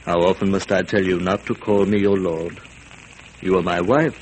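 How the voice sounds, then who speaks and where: A man playing a gentle, frail, idealistic king who speaks earnestly. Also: low voice, steady tone, moderate pace, slight background noise, lightly acted, low-quality recording.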